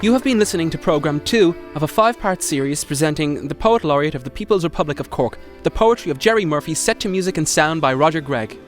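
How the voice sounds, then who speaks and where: Higher-pitched male radio announcer. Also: expressive, fast, hardly any pauses, soft background music. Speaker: programme announcer. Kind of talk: scripted radio credits announcement.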